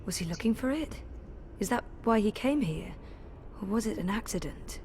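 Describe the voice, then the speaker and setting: Woman playing a hushed, questioning young woman. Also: mid-range voice, animated, moderate pace, slight background noise, lightly acted.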